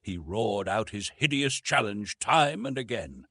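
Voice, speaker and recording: Male narrator for adventure audiobook. Expressive and theatrical, husky voice, very dry studio-like sound. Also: lowish voice, measured pace, dry recording. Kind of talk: dramatic scripted narration of an adventure novel.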